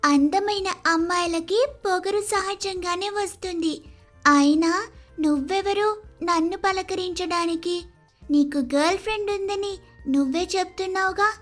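Woman as a high, haughty young girl dog. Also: unhurried, a bit bright, soft background music. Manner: haughty, melodramatic. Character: vain, haughty young female dog, proud of beauty. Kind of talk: animated moral story voice acting.

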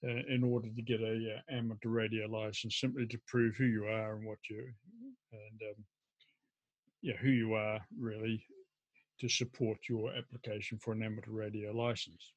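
An older man, explaining informally to camera in a halting, hesitant way. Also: fairly low pitch, little pitch movement, dry recording, rough recording. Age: older, around 70s. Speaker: amateur radio vlogger. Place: indoors at a desk.

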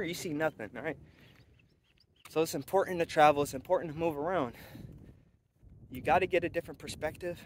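Young male vlogger, boastful motivational talk, recorded outdoors on an earbud mic with faint traffic. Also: mid-range voice. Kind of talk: casual walk-and-talk vlog. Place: outdoors on a sunny street by a college campus. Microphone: earphone mic, camera held at arm's length.

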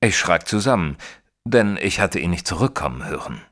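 A man playing a proper, opinionated Victorian gentleman who tells his story in a German audio drama, measured and expressive. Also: low voice. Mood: storytelling. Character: proper Victorian gentleman narrator, opinionated, easily shocked companion. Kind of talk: dramatised first-person audiobook narration in German.

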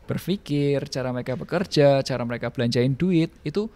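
A man's voice: mid-range voice, brisk, mellow. A man in his thirties with an Indonesian accent, explaining things emphatically in a casual podcast interview, often with rhetorical questions. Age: 30s. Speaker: podcast guest, financial planning business owner. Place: small office room with bookshelves. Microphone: close podcast microphone on a stand.

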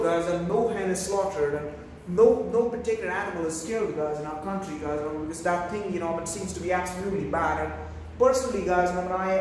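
A man's voice: high voice, a little mellow, heavy reverb, in a large hall. Young man, Indian accent, rambling vlog talk, florid wording, distant phone mic, ringing room, faint noise. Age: young adult. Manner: rambling, verbose, grandiloquent, repeatedly addressing viewers. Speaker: food vlogger. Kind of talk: casual vlog monologue. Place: small bare indoor room. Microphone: phone camera, held or propped at a distance.

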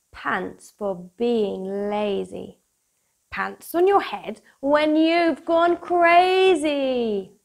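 A British woman in her thirties presenting for young children in a playful, expressive, sing-song manner, as if hosting a picture-book club. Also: on the high side, vocal fry. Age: 30s. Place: small room at home, indoors. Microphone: lapel mic.

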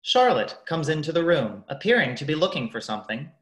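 A man's voice: a little high, sing-song, brisk, fairly bright tone. Young man narrating in an echoey room. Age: young adult. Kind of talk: scripted narration, reading stage directions.

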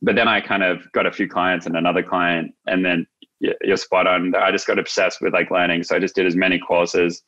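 A man's voice: low voice, level tone, a little fast, pushed and bright. A young adult man with an Australian accent, chatting casually and reflectively as an interview guest on a video call. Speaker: interview guest, marketing agency founder. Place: indoors, small office room, on a video call. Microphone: video call, no headset seen. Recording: slightly dull video-call audio.

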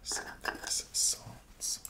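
A middle-aged man whispering softly and breathily in an ASMR roleplay, murmuring unhurriedly to himself as he works as a chemist. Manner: slow, unhurried murmuring to himself while working. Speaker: ASMR roleplayer playing a soft-spoken apothecary chemist. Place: indoors, small lab-styled set.

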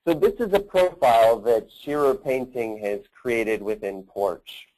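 A man giving a product demo on a conference call as a company representative, often pausing mid-phrase. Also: mid-range voice, a little mellow, poor audio.

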